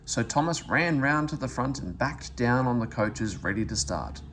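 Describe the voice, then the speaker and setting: A man reads a children's story aloud in an expressive narrator's style. His mid-range voice moves up and down in an animated way. The recording carries a faint background noise. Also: mid-range voice, normal speed. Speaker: story reader.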